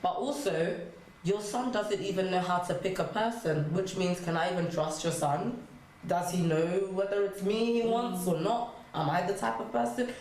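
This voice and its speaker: A woman's voice: lowish voice, normal speed, a little mellow. A young adult woman speaking as a guest in a casual panel discussion, illustrating her points with acted-out quotes. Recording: very echoey room, faint noise.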